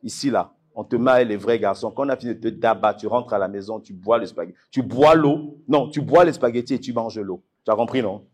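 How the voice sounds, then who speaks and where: A man's voice: medium pitch, a little fast, hardly any pauses, breathy edge. A man with an Ivorian accent, working as master of ceremonies at a fight event, hyping up a live audience with emphatic, repeated phrases.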